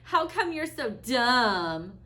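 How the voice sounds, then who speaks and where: A woman voicing a mean schoolboy bully from a storybook, loud and taunting. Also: on the high side, sing-song, slow, recorded from a distance, voice pushed up, exaggerated voice. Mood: jeering. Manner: loud, jeering.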